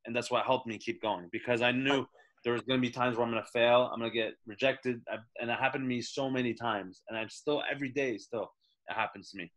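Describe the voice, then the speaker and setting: Bright-voiced man on a casual call. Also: medium pitch, fairly even intonation, fast, poor audio. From Canada. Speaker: guest comedian. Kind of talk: casual podcast chat.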